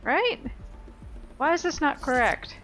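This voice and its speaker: A woman talks through a video game at a slow, easy pace. Her style is casual and informal, as if thinking aloud for viewers. Faint music plays behind her voice. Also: sits high, big pitch swings, a bit bright. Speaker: video game player and host of a playthrough.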